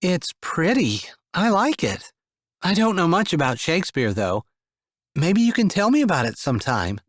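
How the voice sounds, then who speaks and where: A man doing a young mother, raised pitch, soft and sweet, soothing, lilting, dry close sound. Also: very animated, measured pace, no room sound. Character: gentle young mother soothing frightened kids. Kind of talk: audiobook character voice.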